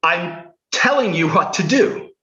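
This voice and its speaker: Actor as a bossy author lecturing his character; slow, slightly high, roomy dull recording. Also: lively intonation, sharp tone, heavily acted. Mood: exasperated. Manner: exasperated, lecturing. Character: controlling male novelist arguing with his own character. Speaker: improv performer. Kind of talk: improvised stage comedy.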